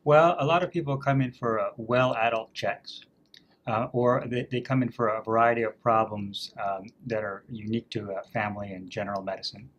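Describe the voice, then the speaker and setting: A man from Canada working as a family doctor, answering interview questions in a measured way with frequent ums and uhs. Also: lowish voice, fairly bright tone. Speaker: family physician guest.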